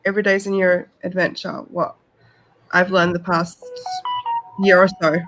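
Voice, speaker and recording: A woman's voice: on the low side, flat delivery, measured pace, over music, rough recording. A woman with an Australian accent, chatting casually as a panel guest and telling her own story.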